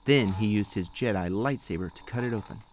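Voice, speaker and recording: A man reading scripted storybook narration as the storyteller, with clear noise behind the voice. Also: lowish voice, fairly quick. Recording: clear background noise, clicks.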